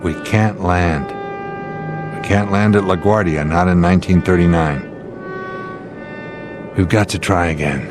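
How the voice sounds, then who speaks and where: An actor playing a steady, authoritative airline captain under strain in a radio drama, speaking deliberately. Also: male voice, low voice, music in the background. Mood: grave, solemn.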